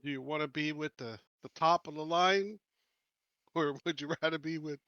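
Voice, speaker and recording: A man's voice: a little high, normal speed, sharp tone, dry recording, lo-fi sound. A man chatting casually as a player in a tabletop game group.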